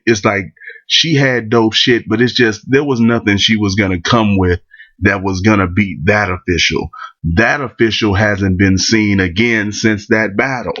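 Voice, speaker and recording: A man's voice: fairly low pitch, a bit bright, highs cut off. A man with a cold, rambling bluntly through his opinions in a casual monologue. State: catching a cold. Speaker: vlogger giving battle rap opinions.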